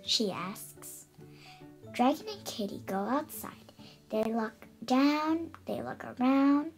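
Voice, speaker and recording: Young girl reading aloud, animated and high. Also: slow, vocal fry, soft background music, rough recording. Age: child, about eight. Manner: expressive, careful reading with stumbles over words. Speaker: young storybook reader. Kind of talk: read-aloud children's picture book.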